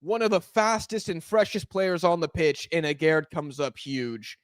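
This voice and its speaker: A man hosting a live football watch-along, reacting excitedly to every chance and shouting at near misses, his voice going hoarse. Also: fairly high pitch, moderate pace, no echo, studio quality. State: hoarse, losing voice.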